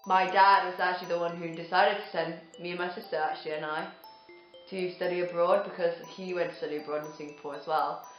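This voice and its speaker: A woman's voice: fairly low pitch, steady tone, quick pace, muffled recording, soft background music. An eighteen-year-old woman from Thailand giving a documentary interview, thoughtful and hesitant, often restarting her sentences. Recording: echoey room.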